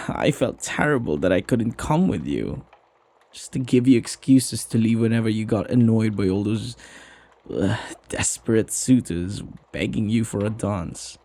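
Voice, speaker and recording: Guy doing a flirty butler for asmr, slow n husky, doting on his master, formal wording. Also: on the low side, lively intonation, dark tone. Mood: concerned, guilty. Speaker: ASMR roleplay voice actor. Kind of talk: ASMR roleplay acting.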